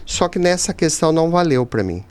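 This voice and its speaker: A man's voice: a little high, very animated, fairly quick, a little mellow, clean tone. A Brazilian man in his fifties answering conversationally as a podcast guest, explaining things emphatically with rhetorical asides. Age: 50s. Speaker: podcast guest, teacher and writer.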